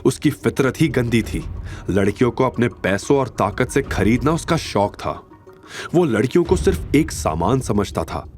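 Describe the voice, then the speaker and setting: Expressive male narrator, lively pitch. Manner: expressive, suspenseful. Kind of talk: dramatic scripted story narration.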